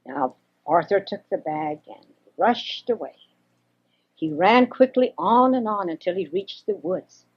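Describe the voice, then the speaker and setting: An older woman reads a children's story expressively, with a husky voice, on a homemade cassette. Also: lowish voice, lively intonation, measured pace. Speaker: storyteller reading for children and grandchildren. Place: home recording. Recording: dull homemade 1980s cassette recording.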